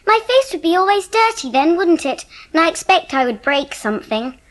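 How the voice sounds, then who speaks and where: A woman playing a bright, chatty schoolgirl in an audio drama. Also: extremely high pitch, big pitch swings, normal speed. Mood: matter-of-fact.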